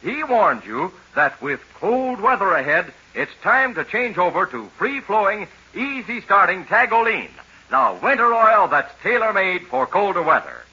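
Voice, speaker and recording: A man's voice: high-pitched, big pitch swings, a little slow, pushed and bright, husky. A man announcing a 1940s radio serial with emphatic, dramatic scripted delivery. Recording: muffled 1940s radio recording.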